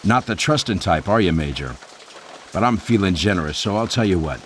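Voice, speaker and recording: A wisecracking American captain in a game cutscene. His voice is very deep, with an American accent and a dry, cocky edge. Faint noise runs behind it. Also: lively intonation, fairly quick. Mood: sardonic, cocky. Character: cocky, sardonic American air force captain. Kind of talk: video game cutscene acting.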